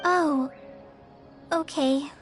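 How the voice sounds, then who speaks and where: A woman's voice: high-pitched, fairly even intonation, very slow, music in the background. A woman voicing an eager, humble teenage girl apprentice in a video game, earnest and hesitant in manner. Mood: deflated.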